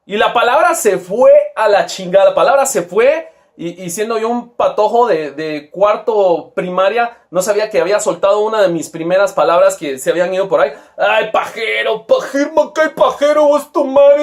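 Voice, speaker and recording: A man's voice: sits high, normal speed. A middle-aged man with a Guatemalan accent, telling a rambling, crude story in casual slang. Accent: Guatemalan Spanish. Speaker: toy seller and vlogger.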